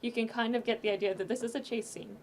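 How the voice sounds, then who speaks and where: A woman's voice: on the high side, fairly even intonation, fast talker. A woman guest on a casual podcast, explaining how her audio drama project works, thinking aloud with some restarts.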